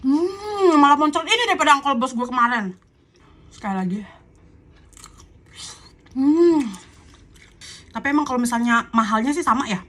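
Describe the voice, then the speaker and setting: Woman, very high voice, Indonesian accent, casual mukbang chat, food vlogger. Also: expressive, moderate pace, long pauses, fairly bright tone.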